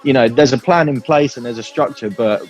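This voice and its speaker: A man's voice: medium pitch, fairly quick, faint music behind. A man chatting informally and candidly in an interview as a band musician, in a rambling way. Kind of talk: casual interview chat.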